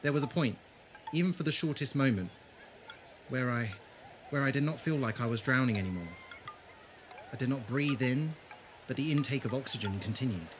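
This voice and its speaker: Man acting as a resolute leader of adventurers, mid-pitched, grave, deliberate, intense, noisy ambience behind. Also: lightly acted. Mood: haunted, intense. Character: grave, resolute adventurer leading his companions. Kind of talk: audio drama acting. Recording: clearly noisy background ambience.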